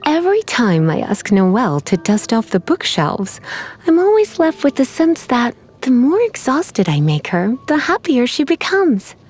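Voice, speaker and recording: Sultry, teasing sorceress, lilting voice. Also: female voice, on the high side, wildly swooping pitch, a little mellow, husky, exaggerated voice. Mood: teasing, musing. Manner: sultry, teasing, unhurried, coy. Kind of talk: video game character voice lines.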